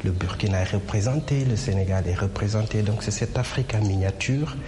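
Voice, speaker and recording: A young male journalist from Senegal answers off the cuff in a low, mellow voice with a Senegalese French accent. There is faint ambient noise. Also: fairly quick. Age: young adult. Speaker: journalist being interviewed. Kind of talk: spontaneous interview answer.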